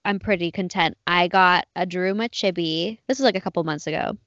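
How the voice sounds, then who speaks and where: A woman's voice: lowish voice, fairly quick, a bit bright, no room sound, highs cut off. Bubbly, enthusiastic young woman. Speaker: podcast co-host. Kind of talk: casual chat.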